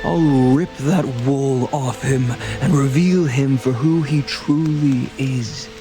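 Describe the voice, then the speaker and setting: An actor playing an unhinged, sneering male game villain with a theatrical, drawn-out, mocking delivery. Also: medium pitch, close to an everyday voice. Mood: bitter, scornful. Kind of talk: dramatic video game voice acting. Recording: loud music behind the voice.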